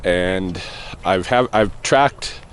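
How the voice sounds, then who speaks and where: A man talking casually to camera while out on an evening walk, thinking aloud in a loose, rambling way with pauses and soft chuckles. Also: low voice. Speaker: vlogger answering a viewer question. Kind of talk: casual walking vlog. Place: outdoors on an evening walk near traffic.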